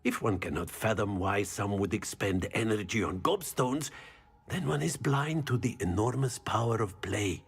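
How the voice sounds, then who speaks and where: A man's voice: on the low side, moderate pace, breathy edge, faint music behind. A man playing a cheerful, chatty professor at a school of magic in a video game, who values fun and play. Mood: upbeat, musing. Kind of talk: scripted game dialogue.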